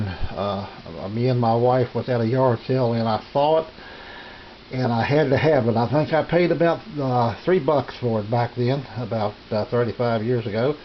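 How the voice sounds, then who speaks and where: Brief: middle-aged male hobbyist, halting delivery full of fillers, dull lo-fi home recording with faint hiss. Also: mid-range voice. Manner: halting, many "uh" fillers and restarts. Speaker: ham radio hobbyist demonstrating receivers. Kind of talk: casual home video demonstration. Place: home radio shack. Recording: dull lo-fi camera audio with hiss.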